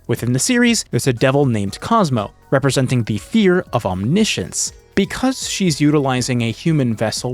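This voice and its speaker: A man narrating an analytical video essay, scripted but with casual asides. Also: medium pitch.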